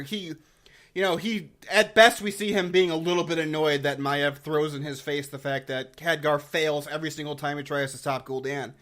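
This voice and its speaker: High-pitched man on a sweary, exasperated rant. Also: sharp tone. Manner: sweary, blunt, exasperated, informal slang. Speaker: video game lore reviewer and host. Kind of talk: casual opinionated review rant.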